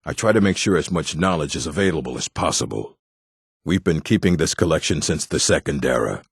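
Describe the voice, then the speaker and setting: Very deep gravelly gruff orc. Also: male voice, no echo, studio quality, exaggerated voice. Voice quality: gravelly, husky. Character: gruff male orc, stern bookish keeper and warrior. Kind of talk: video game character voice acting.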